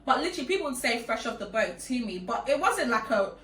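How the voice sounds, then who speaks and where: A woman's voice: fairly high pitch, sing-song, fast, fairly bright tone, far from the mic. A young woman from Nigeria with a Nigerian-British accent, chatting casually and confidently as host, laughing often. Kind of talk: casual chat. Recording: echoey room, off-mic.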